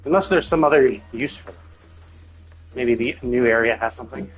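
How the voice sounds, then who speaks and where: A man livestreaming a game with casual, rambling commentary, thinking out loud, cutting himself off and swearing freely. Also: mid-range voice, fairly quick, husky, faint music behind. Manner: casually profane. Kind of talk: casual gameplay stream commentary.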